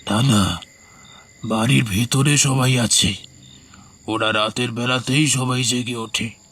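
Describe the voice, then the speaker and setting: A man's voice: mid-range voice, fairly even intonation, a bit bright, breathy edge, full character voice. A man voicing a gaunt, ghostly villager in a horror cartoon, slyly inviting and ominous. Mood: ominous, evasive. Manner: slow, ominous, drawn-out delivery.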